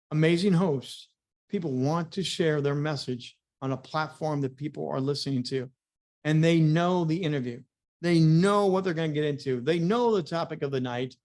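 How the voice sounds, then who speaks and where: Emphatic man with a slightly high voice. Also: darkish tone. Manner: emphatic, punchy delivery with repeated phrases. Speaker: media coach and motivational speaker. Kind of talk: motivational business presentation.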